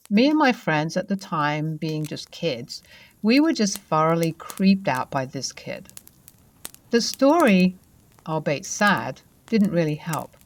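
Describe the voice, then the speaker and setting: A woman narrating a first-person ghost story in a conversational, expressive way, with dramatic emphasis and pauses. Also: lowish voice.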